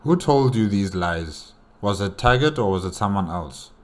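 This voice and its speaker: Casting: male reaction host, lowish voice, casual off-the-cuff commentary, informal, repeats phrases. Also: expressive, normal speed, soft background music.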